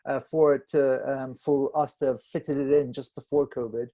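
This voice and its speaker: Casting: male panellist, relaxed dark tone, mid pitch, halting reflective delivery. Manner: halting, searching for words, stammered restarts. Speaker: film director on a panel.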